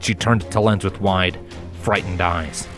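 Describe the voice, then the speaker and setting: Narrator: man, deep register, solemn measured storytelling, faint music underneath. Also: steady tone. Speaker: fan fiction reader, narrator. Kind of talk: dramatic scripted narration. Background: background music.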